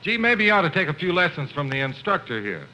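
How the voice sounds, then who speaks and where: Easygoing buddy, old radio comedy, bright voice a touch high, faint noise. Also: male voice, sing-song, fast talker, full character voice. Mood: helpful. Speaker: comedy sidekick. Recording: slightly noisy old radio recording.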